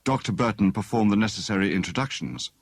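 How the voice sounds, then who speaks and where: Guy narrating an audiobook, low voice, very dry close sound, literary read. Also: quick pace, dry recording.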